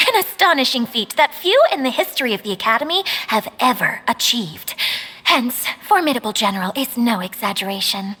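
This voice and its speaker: A woman playing a gentle, courteous young caretaker in a video game, speaking formally with a touch of hesitancy. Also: fairly high pitch, animated, brisk, husky, heavily acted. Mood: explaining. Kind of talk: video game cutscene dialogue.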